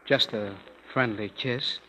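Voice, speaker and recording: A man's voice: medium pitch, takes it slowly, bright, forceful tone, slight background noise. An actor playing a gloomy, wisecracking young man in a 1930s radio drama. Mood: subdued. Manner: sardonic, fatalistic. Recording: muffled old radio broadcast in a hall.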